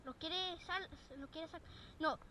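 A woman filming herself fishing from an outdoor boardwalk on a head-mounted camera, chatting excitedly and shouting at each catch. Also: extremely high pitch, slow, a little hiss or hum. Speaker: angler filming her catch. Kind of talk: excited casual chat while fishing. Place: outdoor wooden boardwalk by a lake. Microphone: head-mounted action camera.

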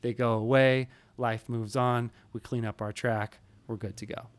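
A man in his thirties walks through studio settings in an easygoing, conversational way. His voice sits at an average pitch for a man and comes through clean on a close studio microphone in a small home studio. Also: a little slow, background noise. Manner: relaxed, explanatory, conversational. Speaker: audio engineer teaching. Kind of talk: screen-recorded tutorial. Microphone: studio mic in frame, wearing headphones.

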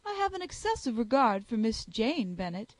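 A woman's voice: fairly high pitch, very animated, normal speed, no echo. A woman reading aloud, voicing a gossipy, snobbish married lady with a prim, disdainful manner.

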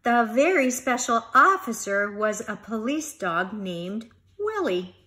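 A middle-aged woman reading a children's story aloud in an expressive, teacherly way. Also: a little high, takes it slowly. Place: living room at home, indoors.

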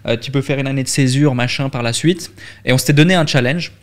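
A man's voice: mid-range voice, fast. A young man from Switzerland, a guest on a podcast, telling his story casually with many fillers and restarts into a studio microphone. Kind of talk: candid storytelling interview. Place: dark podcast studio with red light. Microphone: studio mic on boom arm, headphones.

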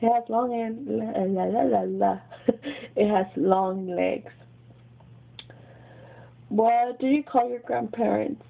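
A woman's voice: a little high, steady tone, slow, creaky, pauses often. A giggly young woman with a Mexican American accent, chatting casually into a webcam in a small bedroom. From Los Angeles, grew up in Texas. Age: young adult. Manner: giggly, chatty, repeats words. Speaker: vlogger. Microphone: webcam built-in mic. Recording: tinny, lo-fi webcam audio.

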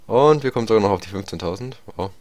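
German gamer with a slight cold. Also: male voice, lowish voice, fast, a little mellow. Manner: chatty, hesitant with many fillers. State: slight cold, clearing throat. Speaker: gaming YouTuber. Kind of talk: tutorial gameplay commentary.

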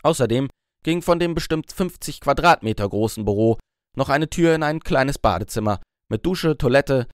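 A man narrating a scripted audiobook story in an expressive, measured way. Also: mid-range voice, no room sound, studio quality.

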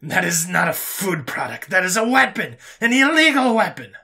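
A man's voice: mid-range voice, normal speed, pushed and bright. Man as a stiff, indignant furry alien prisoner. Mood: outraged, alarmed. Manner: stiffly formal, indignant, hissing when upset. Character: stern, proud furry male alien prisoner, easily horrified. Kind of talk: storybook character voice in narrated sci-fi tale.

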